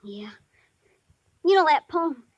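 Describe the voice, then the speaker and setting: A young boy of ten in a vintage radio drama, with a high-pitched voice. He talks haltingly in slangy, ungrammatical kid fashion, trying to sound tough but coming across bashful and earnest. The sound is dry. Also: very animated, no echo, exaggerated voice. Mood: wistful. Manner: hesitant, slangy, ungrammatical street-kid talk. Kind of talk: 1950s radio drama acting.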